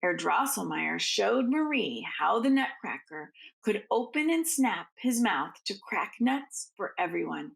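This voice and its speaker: A woman reading a storybook aloud to children as a teacher, with expressive, deliberate phrasing and informal asides. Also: mid-range voice, creaky.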